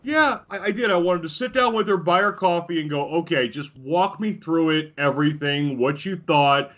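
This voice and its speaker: A man's voice: a little high, normal speed, a bit bright. An American man hosting a podcast interview, telling stories in a rambling, informal, amused way. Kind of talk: casual chat, storytelling.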